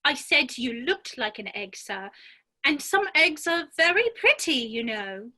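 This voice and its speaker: A woman's voice: high-pitched, very animated, measured pace, sharp tone, heavily acted. A woman playing a polite, earnest, curious little girl in a dramatic reading of a children's classic.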